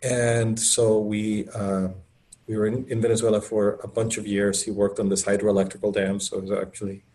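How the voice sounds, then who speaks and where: A man's voice: fairly low pitch, level tone, normal speed, dark tone. A middle-aged man from Colombia, an author speaking as a guest over a video call, enthusiastic and rambling with many fillers. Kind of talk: casual conversational talk.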